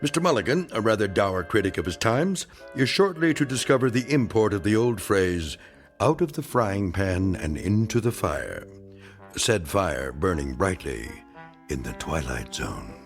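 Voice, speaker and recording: A man narrating an eerie radio anthology as a wry, composed storyteller with a measured, dramatic scripted delivery. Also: deep voice, big pitch swings, soft background music, heavily acted. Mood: wry, ominous.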